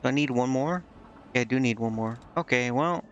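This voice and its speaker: A man's voice: medium pitch, brisk, muffled recording. A man streaming a video game, thinking aloud in casual commentary and muttering indecisively to himself.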